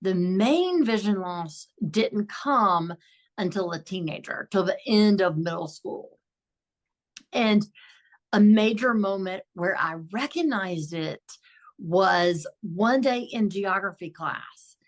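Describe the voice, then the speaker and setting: A woman guest on a podcast interview over a video call, speaking in a warm, reflective way about her own life. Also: medium pitch, animated, takes it slowly. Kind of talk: personal storytelling in interview. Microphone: video call recording.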